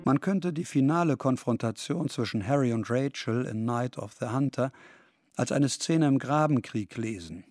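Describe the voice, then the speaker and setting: A man's voice: mid-range voice, moderate pace, darkish tone, no room sound. A man reading scripted, essayistic radio narration.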